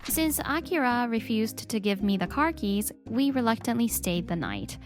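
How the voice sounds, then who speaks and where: A woman voicing an earnest, expressive young mother and wife in a narrated manga dub. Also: a little high, moderate pace. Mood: earnest narration. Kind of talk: scripted story narration, manga dub acting.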